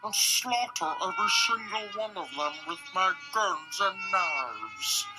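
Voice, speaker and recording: A man doing a comedic impression of a grandiose, vengeful, self-pitying young villain in a theatrical, whiny, drawn-out delivery. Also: high voice, lively intonation, over music. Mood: menacing, grandiose. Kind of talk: comedic impression in podcast banter.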